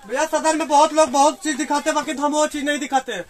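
Young shopkeeper with a very high, quick voice. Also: male voice, steady tone, a little hiss or hum. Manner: eager salesman, keeps saying "bhaiya". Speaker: wholesale shopkeeper. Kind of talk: sales pitch to camera. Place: small crowded cosmetics shop, indoors.

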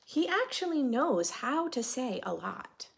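A woman in her thirties reading a picture book aloud to preschoolers, expressively, in simple phrases with drawn-out key words. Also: high-pitched, big pitch swings, vocal fry. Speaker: host of a children's read-aloud show. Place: indoor room with bookshelf and armchair.

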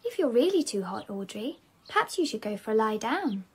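Catty jealous young wife, fairly high voice, petulant, radio drama. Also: female voice, very animated, fairly quick, breathy edge, heavily acted. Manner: petulant, cutting. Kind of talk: British radio drama acting.

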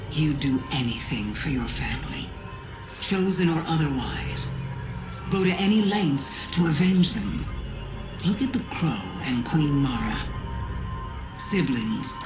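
A woman playing a sly, coolly persuasive witch villainess in a game, musing deliberately. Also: low voice. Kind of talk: dramatic game monologue. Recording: echoing voice over music.

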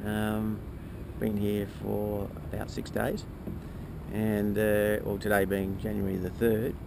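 A man, giving a relaxed, halting casual update as a sailing vlogger, recorded on a handheld camera outdoors. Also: lowish voice, vocal fry. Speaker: sailing vlogger, boat skipper. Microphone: camera held at arm's length. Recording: outdoor wind and boat noise.

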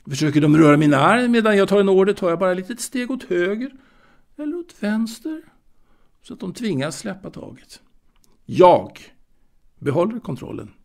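An actor playing a fastidious, proud waiter and former seaman in an audio drama monologue, confiding in a halting, repetitive way. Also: high-pitched, big pitch swings, gravelly, a little mellow, heavily acted. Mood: composed, proud. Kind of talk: intimate dramatic monologue, told to a listener.

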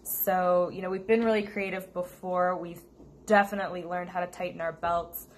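A woman's voice: fairly low pitch, moderate pace. An American woman talking casually to viewers as a vlog co-host. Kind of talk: casual chat to camera. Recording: steady noticeable background noise.

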